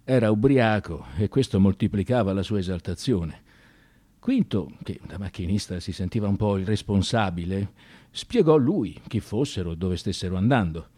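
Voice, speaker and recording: A male narrator tells a radio-theatre story. His tone is dark and mellow, and his delivery is theatrical and expressive, with pitch that moves widely as he goes. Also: moderate pace, breathy edge.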